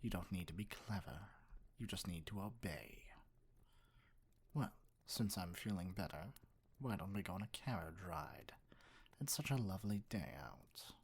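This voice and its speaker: A man playing an arrogant, dominant evil sorcerer king in an ASMR roleplay, speaking in a measured, condescending, breathy near-whisper. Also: low voice, lively intonation, a little hiss or hum. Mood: smug, commanding.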